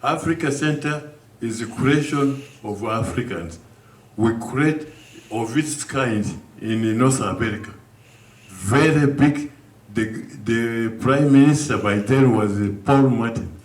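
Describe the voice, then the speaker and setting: Older man, echoey distant speech. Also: mid-range voice. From Uganda. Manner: earnest, halting storytelling with restarts. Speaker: guest speaker. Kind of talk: community speech. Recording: echoey room from far off.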